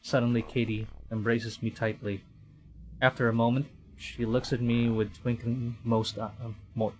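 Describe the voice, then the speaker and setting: A man giving casual, halting live game commentary and reading story text aloud. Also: medium pitch, little pitch movement, darkish tone, rough recording. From Philippines. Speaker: streamer.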